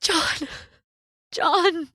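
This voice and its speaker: A woman's voice: very high-pitched, extremely slow, pushed and bright, no room sound, studio quality, full character voice. An actress playing a terrified, exhausted young woman pleading as she flees a monster, in melodramatic old-time radio horror style. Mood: desperate terror.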